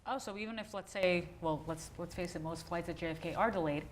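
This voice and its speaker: Brisk low-voiced woman host. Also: a little hiss or hum. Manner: quick interjections of approval, brisk questions. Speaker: show host, interviewer. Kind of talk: lifestyle interview. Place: outdoor event venue.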